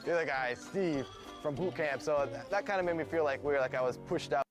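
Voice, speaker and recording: A man's voice: on the high side, fairly quick. A young man with a lisp speaking earnestly in a reality TV confessional. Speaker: dating show contestant. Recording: TV show audio with music behind. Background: music.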